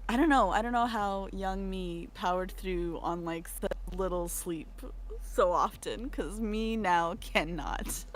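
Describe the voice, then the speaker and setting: A woman's voice: medium pitch, slow pace, a little hiss or hum. A sleep-deprived woman guesting on a livestream, chatting casually in a playful, self-deprecating, dramatic way. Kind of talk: casual chat.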